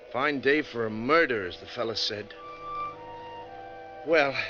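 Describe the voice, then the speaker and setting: An actor playing a wounded, sardonic American bus driver recounting a grim story in slangy 1940s radio drama style. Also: male voice, mid-range voice, sharp tone. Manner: folksy, hard-boiled, slangy. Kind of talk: 1940s radio drama acting, slangy first-person narration. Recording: dull vintage radio recording, music behind.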